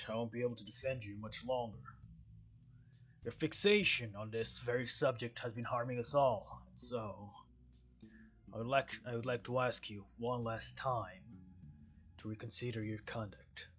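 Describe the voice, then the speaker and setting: A man's voice: fairly bright tone, highs cut off, close to an everyday voice. Man putting on a throaty, stern police chief. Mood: grave, measured. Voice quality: throaty, strained low voice. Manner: measured, formal. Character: stern, weary male police chief, old friend. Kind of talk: visual novel dialogue read aloud.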